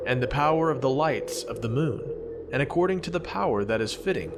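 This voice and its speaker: A man playing an ancient prophet who recounts his visions in measured, reverent, archaic narration for a scripture audio drama, with music behind him. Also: fairly high pitch, heavy background noise, close to an everyday voice.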